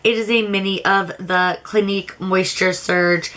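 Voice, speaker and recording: A young adult woman beauty vlogger talking casually through product reviews, candid and rambling with frequent restarts, her voice a little raspy. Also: mid-range voice, steady tone, a little slow, sharp tone. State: slightly raspy voice.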